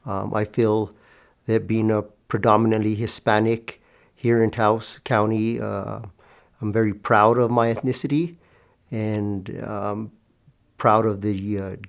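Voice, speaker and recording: A man from New Mexico speaking earnestly in an interview, often pausing with "uh.". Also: on the low side, vocal fry. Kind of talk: documentary interview.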